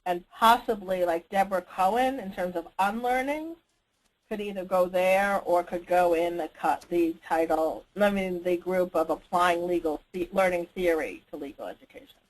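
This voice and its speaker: A woman's voice: lowish voice, moderate pace. A woman leading a group conference call as organizer, talking informally and tentatively, thinking aloud and checking in with the others. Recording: noisy conference call line.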